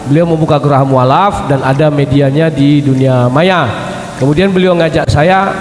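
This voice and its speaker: A middle-aged man with a cold speaks emphatically and clears his throat between phrases. His voice sits fairly high. He is recorded in an echoey hall with a long reverb. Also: normal speed. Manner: emphatic, rising pitch, frequent throat clearing. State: has a cold, coughing. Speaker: debater. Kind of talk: self-introduction at a live debate.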